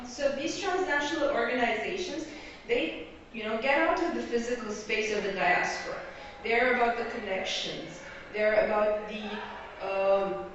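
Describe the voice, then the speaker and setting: A woman from Egypt presents graduate research in an explanatory tone, often pausing to check that her listeners follow. Her fairly high voice comes from far off in a strongly echoing room. The sound is dull and lo-fi, with a faint hiss behind it. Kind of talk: academic presentation. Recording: echoey hall, distant lo-fi mic.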